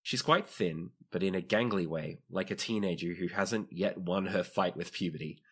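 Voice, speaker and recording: A man's voice: deep voice, normal speed, fairly bright tone, slightly rough. A man narrating a tabletop role-playing game as game master, in a conversational, descriptive way.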